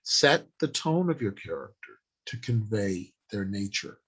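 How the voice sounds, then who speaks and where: A man giving an informal instructional talk on writing, speaking deliberately in short, halting phrases with pauses. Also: lowish voice, big pitch swings, a bit bright, slightly rough. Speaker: writing workshop presenter.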